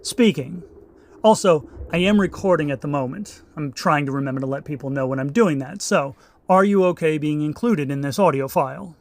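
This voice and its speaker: A man's voice: on the high side, moderate pace, close to an everyday voice. An actor playing an obsessive male investigator in an audio drama, polite and wordy in a formal way.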